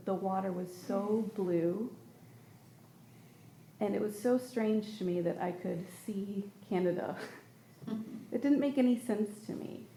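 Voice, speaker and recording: A woman's voice: mid-range voice, gravelly, dark tone, reverberant, slight background noise, rough recording. A woman from Michigan speaking in a group conversation, telling a personal story in an earnest, wandering way with many fillers. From Michigan, United States. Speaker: panel speaker.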